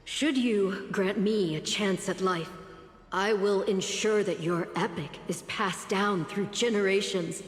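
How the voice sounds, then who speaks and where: A woman playing a humble mortal heroine in a video game, speaking formally and haltingly as she pleads for her life. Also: medium pitch, lightly acted. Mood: solemn resolve. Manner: halting, earnest, formal speech. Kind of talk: dramatic video game voice acting. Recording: long echoing hall reverb, music behind. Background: music.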